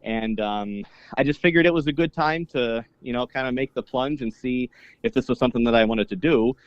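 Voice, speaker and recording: Relaxed man, native Polish speaker. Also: mid-range voice, a little fast, no room sound, muffled recording. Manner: easygoing, rambling, many fillers and restarts. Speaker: guest, Orthodox priest and polka singer. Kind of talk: casual interview chat.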